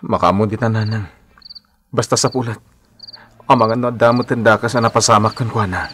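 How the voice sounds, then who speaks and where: A man plays a devoted young Filipino husband with an Ilocano accent. His voice sits fairly low, and he speaks in the earnest, placating manner of a radio drama son. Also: fairly even intonation, a little fast, a bit bright, slight background noise, natural voice.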